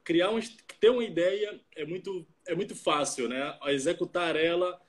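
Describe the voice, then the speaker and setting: Chatty young man, higher-pitched. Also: normal speed. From Salvador, Brazil. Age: 20s. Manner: relaxed, chatty, many fillers and tag questions. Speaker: startup CTO co-hosting a live stream. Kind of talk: casual live-stream chat.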